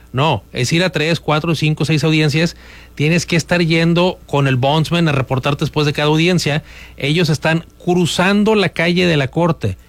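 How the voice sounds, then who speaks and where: A man's voice: on the high side, dry recording. Upbeat male radio host, dry sound. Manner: upbeat, persuasive promotional delivery. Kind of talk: radio talk show hosting.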